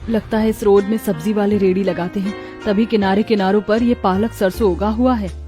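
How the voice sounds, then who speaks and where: A woman's voice: mid-range voice, steady tone, moderate pace, dark tone, faint music behind, lightly acted. A woman voicing a caring woman of a poor village family in a melodramatic story, earnest and emotional. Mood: concerned.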